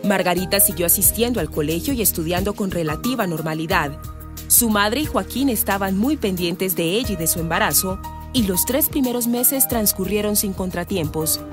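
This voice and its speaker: A woman's voice: medium pitch, lively intonation, moderate pace, over music. A woman with a Colombian accent reading scripted narration for a radio drama.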